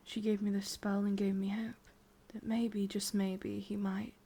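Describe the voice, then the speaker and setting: A woman with an English accent playing a lovelorn, obsessive immortal witch in a breathy, intimate whispered ASMR roleplay. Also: mid-range voice, little pitch movement. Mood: wistful, yearning. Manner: slow, hushed, intimate delivery with pauses.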